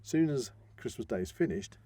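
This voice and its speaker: A man's voice: medium pitch, expressive, brisk, warm tone, dry recording. A middle-aged man with a British accent, talking casually and emphatically as a podcast co-host. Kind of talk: casual conversational podcast talk.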